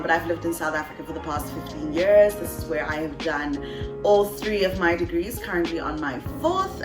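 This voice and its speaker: A woman's voice: mid-range voice, roomy sound. A young woman from Cameroon with a Cameroonian English accent that drifts toward British, chatting to camera in a bubbly, playful way and laughing often. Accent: Cameroonian English, shifting toward British. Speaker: vlogger and voiceover artist. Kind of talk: casual chat to camera.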